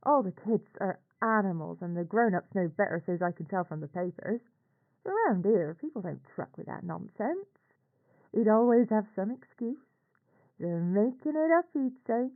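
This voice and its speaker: An actress voices a middle-aged Northern English aunt, anxious and ashamed, telling her story for a horror audio drama. The sound is thin and phone-like, as if from an old log. Her mid-pitched voice moves expressively through plain, colloquial phrasing with halting pauses. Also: lively intonation. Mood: matter-of-fact, then exasperated, ashamed. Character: working-class English aunt, a nurse, anxious, ashamed, recounting events. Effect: radio or telephone-like filter. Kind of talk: scripted confessional monologue for horror audio log.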